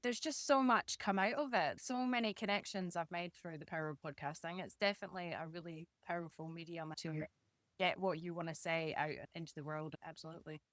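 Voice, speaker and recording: A woman's voice: fairly low pitch, fairly even intonation, fairly quick, a bit bright, dry recording. A woman hosting a casual podcast interview in an encouraging, affirming manner. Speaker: podcast host.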